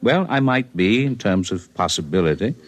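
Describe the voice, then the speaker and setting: A calm alien healer who talks like a scholar, measured and faintly superior. His low voice rises and falls in lively swings. It sounds like an old radio play. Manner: measured, lofty, precise. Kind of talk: 1950s radio drama acting.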